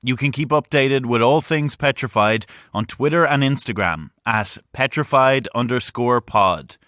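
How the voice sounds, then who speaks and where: A man's voice: mid-range voice, measured pace, fairly bright tone, no room sound. A man giving a scripted credits read as an announcer.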